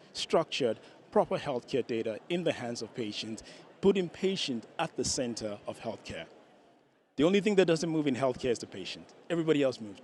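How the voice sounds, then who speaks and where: A man pitches to a crowd on an outdoor stage, quizzing the audience as he goes. His voice sits at an ordinary pitch through a stage mic, with faint city noise behind him. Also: darkish tone. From Ireland. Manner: engaging, asks audience questions. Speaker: startup founder pitching.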